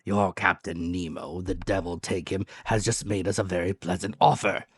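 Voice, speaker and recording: A man's voice: low-pitched, steady tone, normal speed, breathy edge, clean studio sound. A man voicing a blunt, hearty harpooner in a storybook, plain-spoken, curious and practical. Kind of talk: dramatic audiobook character voice. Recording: echoey room, music behind.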